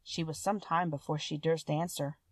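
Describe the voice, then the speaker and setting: A woman's voice: sits low, steady tone, moderate pace, no echo. A woman reading a classic fairy tale aloud as scripted storybook narration.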